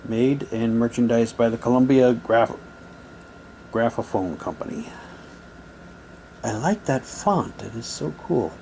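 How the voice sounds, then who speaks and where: A man casually reading printed material aloud in a halting way, with muttered asides to himself. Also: medium pitch, lively intonation, vocal fry, mellow, a little hiss or hum. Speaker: unboxing presenter. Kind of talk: casual unboxing, reading old newspaper props aloud.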